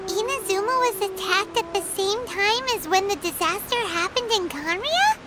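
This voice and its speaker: A small fairy companion from a fantasy game. The voice is extremely high, squeaky and childlike, cute and curious in manner. Clear ambient noise sits behind it. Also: female voice, lively intonation, normal speed. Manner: squeaky, childish, speaks in third person. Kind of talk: cartoonish video game voice acting.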